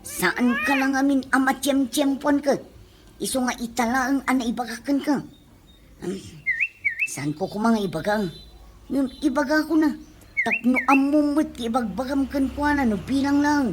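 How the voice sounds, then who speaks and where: A woman's voice: high voice, normal speed, background noise, close to an everyday voice. A woman playing a gossipy, complaining friend in an Ilocano comedy radio drama, with a nagging, dramatic delivery and an Ilocano accent. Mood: aggrieved.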